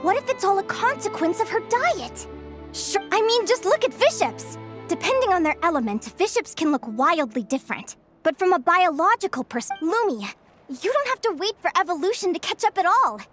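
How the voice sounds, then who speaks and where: An actress voicing a cheerful, curious young woman researcher in a video game, talking in an eager, animated way. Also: extremely high pitch, normal speed, soft background music, exaggerated voice. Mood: excited.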